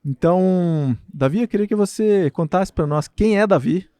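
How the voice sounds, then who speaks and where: A man's voice: high voice, moderate pace, dark tone, clear, resonant tone, dry recording, studio quality. A man from Brazil hosting a podcast, introducing his guest formally and reacting with short, eager remarks. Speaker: podcast host.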